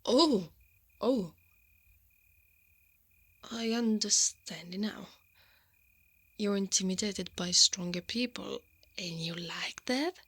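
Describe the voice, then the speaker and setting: Woman acting a slow, whispery female knight. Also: mid-range voice, full character voice. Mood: teasing, dominant. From Italy. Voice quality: soft, often breathy and whispery. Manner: slow, intimate, hushed delivery. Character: brave, strong, protective female knight, tenderly teasing. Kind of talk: ASMR roleplay, intimate scripted acting.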